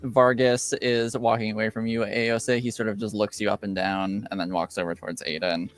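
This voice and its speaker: A man acting as game master, narrating and explaining rules of a tabletop game in a halting way. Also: lowish voice, flat delivery, loud music behind the voice. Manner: halting, hesitant delivery.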